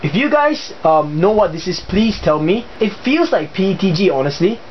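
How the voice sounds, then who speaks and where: A young man with a Singaporean accent and a slightly high voice talks through his hobby gear in a casual, chatty way, often pausing on fillers as he explains. The recording sounds dull and lo-fi, with a faint hiss underneath. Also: very animated. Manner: chatty, hesitant with many fillers. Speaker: hobbyist video host. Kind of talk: casual show-and-tell explanation.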